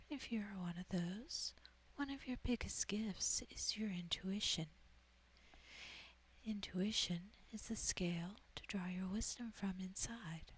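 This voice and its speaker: A woman guiding an ASMR meditation in a breathy, whispery, soft-spoken voice, soothing and gentle. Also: mid-range voice, slight background noise, rough recording. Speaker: meditation guide, ASMR host.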